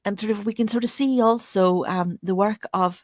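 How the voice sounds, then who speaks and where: A woman interviewer speaking off the cuff, putting long, roundabout questions in a halting way. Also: medium pitch, steady tone, no room sound. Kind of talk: unscripted interview discussion.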